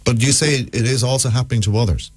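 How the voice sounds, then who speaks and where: Irish male local radio host, mid-pitched, careful and probing, interviewing a caller live. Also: husky. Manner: measured, cautious, probing.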